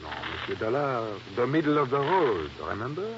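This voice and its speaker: A man's voice: mid-range voice, very animated, moderate pace, highs cut off. A man playing a reluctant, evasive colonial police inspector in a 1950s radio drama, formal and hesitant. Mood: uneasy reluctance. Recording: noisy, band-limited old radio recording.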